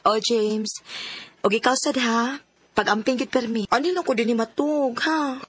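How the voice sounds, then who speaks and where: Coy young girlfriend, high husky voice. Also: a little slow. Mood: tender, pleading. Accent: Filipino, Cebuano. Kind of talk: Bisaya radio drama acting.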